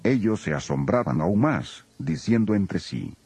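A man narrating a dramatized scripture reading as an expressive storyteller. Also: on the low side, measured pace, husky, soft background music.

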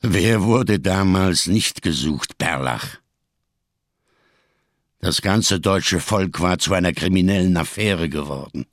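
An actor in a radio crime drama playing a giant, scarred, world-weary survivor who speaks in grand, bitterly ironic phrases. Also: male voice, sits low, dry recording, clean studio sound. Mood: sardonic, grave. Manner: grandiose, bitterly ironic, slow rhetorical delivery.

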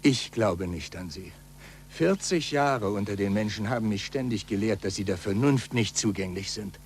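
An actor playing a cautious, anxious middle-aged skeptic, speaking earnestly and urgently in a 1940s German radio play. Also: male voice, on the low side, expressive, normal speed. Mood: grave, pessimistic. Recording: noisy, humming 1940s radio recording.

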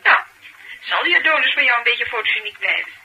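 An actress playing a brisk, sceptical, teasing casting agent, in colloquial radio drama style. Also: female voice, a little high, expressive, pushed and bright, slightly rough, highs cut off, full character voice. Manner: colloquial, mocking, questioning. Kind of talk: naturalistic radio drama acting.

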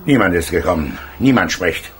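A man playing a grumpy, possessive talking stove in a German children's radio play, gruff and scolding with a raspy, gravelly voice. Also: deep voice, expressive, normal speed, a little hiss or hum. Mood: dismissive, grumbling.

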